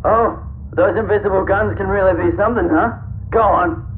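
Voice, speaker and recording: An actor playing a taunting, suspicious armed interrogator in a sci-fi audio drama, sarcastic and menacing through a radio filter. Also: male voice, sits high, moderate pace. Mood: mocking. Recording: tinny radio sound with faint noise.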